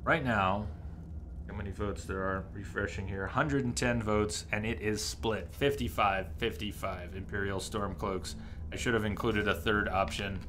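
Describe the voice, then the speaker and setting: A man with a fairly low voice commentates casually on a game as he streams. He chats conversationally with viewers, with clear game noise behind him. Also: steady tone, normal speed, fairly bright tone. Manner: conversational, reacting to chat. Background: game sounds.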